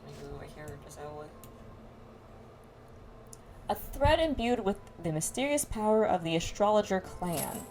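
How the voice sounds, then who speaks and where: A woman's voice: mid-range voice, big pitch swings, normal speed, long pauses, background noise. A woman chatting casually through a game playthrough, reading quest text aloud and muttering asides to herself. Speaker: game streamer playing with friends. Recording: game music behind the voice. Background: game music.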